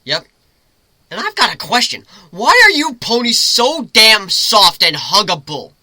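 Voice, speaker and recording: Male reader as wisecracking griffin hero, informal and teasing, sharp bright tone, dry close sound. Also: sits high, sing-song, unhurried, no echo, voice pushed up, exaggerated voice. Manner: informal, teasing, rambling explanations. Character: cocky, joking male griffin, formerly a troubled human.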